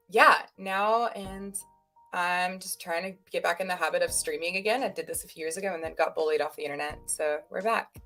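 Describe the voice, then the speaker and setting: A woman's voice: medium pitch, fairly bright tone. A woman co-hosting a casual livestream, chatting in a rambling, self-deprecating way. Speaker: stream co-host.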